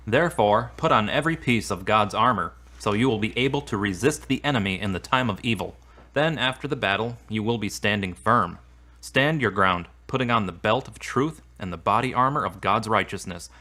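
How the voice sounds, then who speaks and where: A man's voice: fairly low pitch, animated, brisk, pushed and bright. An actor playing an earnest, devout man in a radio drama, speaking plainly and sincerely. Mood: earnest, solemn. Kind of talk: scripted radio-play dialogue.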